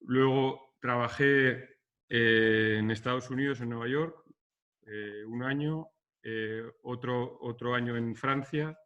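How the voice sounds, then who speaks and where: A man's voice: mid-range voice, fairly even intonation, takes it slowly, fairly bright tone, clear, resonant tone. Hesitant Spanish man on a video call. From Basque Country, Spain. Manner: hesitant, with many restarts and fillers. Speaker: architect guest. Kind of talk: casual video-call interview. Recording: dull lo-fi video-call audio.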